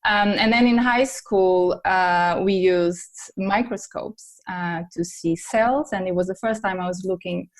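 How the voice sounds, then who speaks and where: A woman's voice: on the low side, unhurried, few pauses. A middle-aged woman from Portugal, answering questions as a guest in a conversational interview. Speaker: podcast guest, academic editor.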